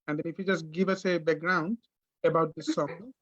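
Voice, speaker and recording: Host, male. High voice, halting delivery with many fillers, dry and muffled call audio. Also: steady tone, fairly bright tone, no echo. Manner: hesitant, many fillers and restarts. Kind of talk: casual interview chat. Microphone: video call.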